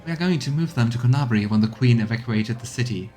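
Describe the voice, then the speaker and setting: A man's voice: mid-range voice, quick pace, warm tone, soft background music, natural voice. A man reading game dialogue aloud as an earnest, determined cleric who reports to a commander with emphatic phrasing.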